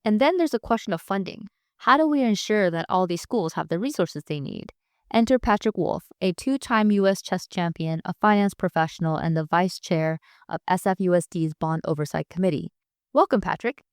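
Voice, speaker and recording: A woman's voice: lowish voice, normal speed, dry recording, clean studio sound. A woman hosting a podcast, moving between scripted narration and interview questions. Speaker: podcast host.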